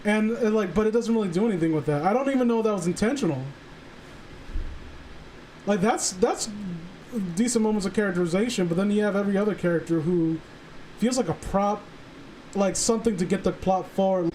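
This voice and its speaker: A man chatting casually on a stream, halting and rambling as he earnestly works through his thoughts. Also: high voice, a little mellow, rough recording. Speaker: stream participant. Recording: faint background noise.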